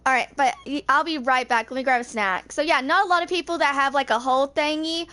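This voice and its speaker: A woman's voice: high voice, quick pace, pushed and bright. A woman in her twenties chatting casually to viewers as she streams a game, rambling and thinking aloud. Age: 20s. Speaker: streamer playing a video game.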